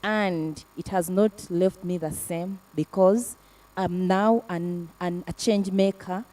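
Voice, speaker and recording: A woman advocate giving earnest testimony about a program, speaking haltingly with fillers and restarts. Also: on the low side, dark tone, recorded from a distance, rough recording. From Africa. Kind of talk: panel testimony.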